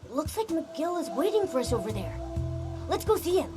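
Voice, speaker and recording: A woman voicing an upbeat, excitable small companion character in video game dialogue. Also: sits high, brisk. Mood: bright, eager. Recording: music behind the voice.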